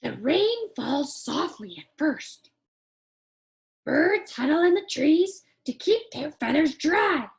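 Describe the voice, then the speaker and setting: A woman's voice: high-pitched, sing-song, slow pace, gravelly, exaggerated voice. A woman voicing an eager childlike puppet friend who reads a picture book to children in an exaggerated, wondering manner. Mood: animated, wondering. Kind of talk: animated children's storybook read-aloud.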